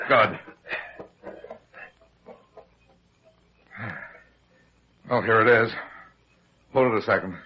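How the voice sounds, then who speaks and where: Husky, shrewd radio detective. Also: male voice, on the low side. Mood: hushed, tense. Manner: brisk, commanding, explanatory. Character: heavyset, shrewd male private detective in charge. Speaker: lead actor. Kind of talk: old-time radio detective acting. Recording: dull, lo-fi old radio recording.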